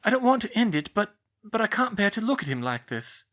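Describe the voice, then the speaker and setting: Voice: medium pitch, big pitch swings, brisk, fairly bright tone, muffled recording, voice pushed up. A narrator voicing a shy, upset older schoolgirl witch who talks in a hesitant, stammering way.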